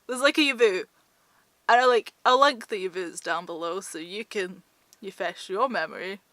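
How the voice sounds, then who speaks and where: A woman's voice: medium pitch, sharp tone, no echo. A woman chats casually about books to her viewers, informal and self-deprecating in manner. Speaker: book vlogger. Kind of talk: casual book chat to viewers.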